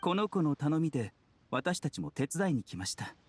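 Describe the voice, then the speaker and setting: Courteous doctor, lively melodic intonation. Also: male voice, fairly high pitch, moderate pace, slightly rough, dry recording, full character voice. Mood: calm. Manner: soft-spoken polite formal phrasing. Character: courteous, composed, gentle male doctor. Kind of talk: Japanese anime game voice acting.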